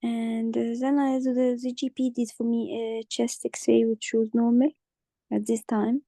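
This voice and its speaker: A woman playing a hoarse forty-nine-year-old patient with a croaky voice, speaking haltingly with many pauses and restarts. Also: a little high, flat delivery, mellow, dry recording, studio quality. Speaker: simulated patient in exam practice.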